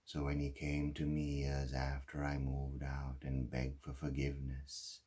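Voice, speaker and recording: A very low-voiced husband weighed down by guilt, played by a man in a dramatic stage monologue. He confesses slowly and haltingly, leaving heavy pauses between phrases. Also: completely flat delivery, mellow. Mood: regretful, subdued. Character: guilt-ridden husband who caused a fatal drunk-driving crash.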